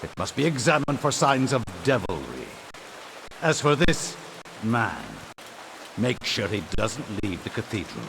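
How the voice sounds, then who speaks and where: An imperious, wrathful inquisitor commands and threatens in theatrical, archaic phrasing. The mid-pitched voice is gravelly and swings widely, like a game villain, with clear storm noise behind. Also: male voice, very animated, unhurried. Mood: cold threat. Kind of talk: dramatic video game cutscene acting. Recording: hissy, noisy rainstorm behind voice.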